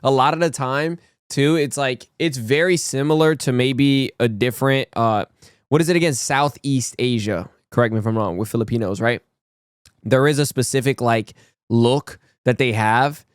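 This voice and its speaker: A man's voice: mid-range voice, normal speed, clear, resonant tone, no room sound, studio quality. A young man from Brazil chatting casually and rambling with slang while reacting to a video. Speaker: reaction channel host.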